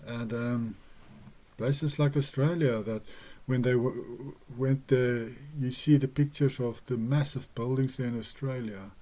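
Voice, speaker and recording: Afrikaans-speaking guy, slow and earnest, kinda mellow voice, lots of restarts. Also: medium pitch, fairly even intonation. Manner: earnest, measured, with hesitations and restarts. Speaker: religious video host. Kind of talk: slow personal teaching monologue.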